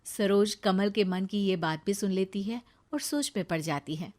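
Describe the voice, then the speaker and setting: A woman narrating a moral story in a calm, plain storytelling manner. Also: medium pitch, normal speed.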